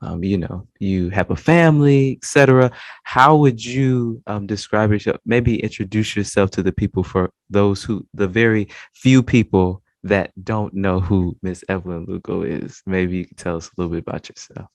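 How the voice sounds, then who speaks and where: A man hosts an interview podcast. His voice sits quite low and is recorded close and dry, with very little room sound. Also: moderate pace, dry recording. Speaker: podcast host. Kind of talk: scripted intro, then conversational interview.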